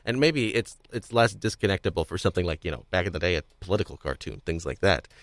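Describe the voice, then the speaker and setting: A man hosting a podcast, talking casually about audio dramas in a halting, hedging way and often chuckling. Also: deep voice, animated, no echo. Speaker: podcast host.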